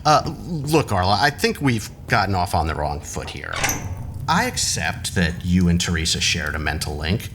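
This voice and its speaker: A man's voice: low-pitched, sing-song, moderate pace, noisy background. An actor playing a smug, scheming rival in an audio drama, glib and mocking as he talks. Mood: smug, goading.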